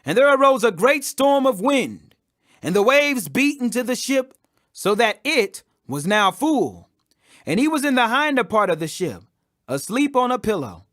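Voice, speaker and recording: A man's voice: very high voice, sing-song, measured pace, a bit bright, dry recording, studio quality. A man reading scripture aloud as a scripted audiobook, with an emphatic, declamatory delivery. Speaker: Bible reader.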